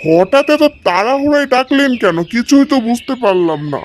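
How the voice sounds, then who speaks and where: A man's voice: very high voice, expressive, brisk, a little hiss or hum. A man playing a greedy, sinister village uncle in a cartoon, haggling and menacing. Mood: puzzled, alarmed. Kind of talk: cartoon story voice acting.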